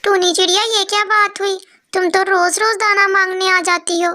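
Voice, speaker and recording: Woman as a very high-pitched grudging male crow. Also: level tone, moderate pace, pushed and bright. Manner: scolding, reluctant. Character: grudging, fed-up male crow hoarding grain. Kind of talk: cartoon storybook dialogue.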